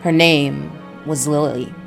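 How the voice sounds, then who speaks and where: A woman's voice: deep voice, steady tone, takes it slowly, creaky, soft background music, exaggerated voice. A woman voicing a world-weary noir detective who narrates his story in a brooding, scripted style from a visual novel. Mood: wry, wary.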